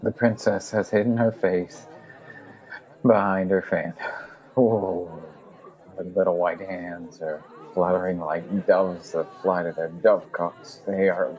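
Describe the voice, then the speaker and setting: A man's voice: low voice, flat delivery, slow pace, darkish tone, muffled recording. A man playing a dreamy, lovestruck admirer of a princess in an audio drama, speaking poetically. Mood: dreamy adoration.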